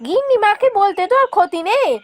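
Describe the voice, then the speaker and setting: A woman's voice: very high-pitched, animated, quick pace. A woman voicing a caring, fretful village wife and housemaid in a cartoon, with a deferential manner. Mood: worried, caring. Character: caring, worried village wife working as a maid. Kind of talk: cartoon voice acting.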